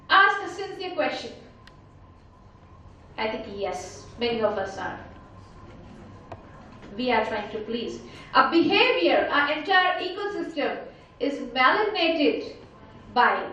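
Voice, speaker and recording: A woman's voice: high-pitched, fairly bright tone, distant mic, slight background noise. A woman with an Indian accent gives a motivational talk to a live audience, speaking emphatically and asking many rhetorical questions. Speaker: motivational speaker and life coach. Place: large conference hall with an audience. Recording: very echoey hall, voice picked up from afar.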